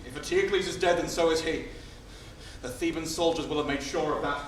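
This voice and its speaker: Earnest young lover-prince, male actor, tragedy on stage, slightly high voice, distant in a reverberant hall. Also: a little fast, close to an everyday voice. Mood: grave, certain. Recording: very echoey hall, distant mic, faint noise.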